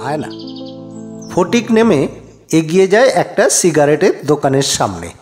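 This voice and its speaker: A man narrates a scripted story in a high, lively voice. His intonation moves widely, with faint music behind him. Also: moderate pace.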